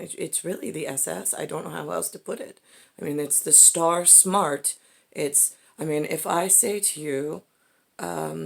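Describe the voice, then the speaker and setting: A woman giving a rambling, off-the-cuff monologue in a halting, digressive way, often breaking off mid-sentence, in a husky, gravelly voice. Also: on the low side. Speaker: speaker in a personal monologue.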